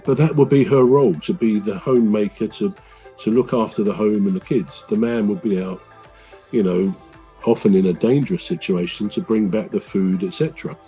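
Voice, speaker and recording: A man's voice: on the low side, brisk, dark tone, soft background music. A man co-hosting a podcast in casual chat, thinking aloud with lots of fillers and restarts. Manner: thoughtful, rambling, with frequent fillers and restarts. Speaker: podcast co-host.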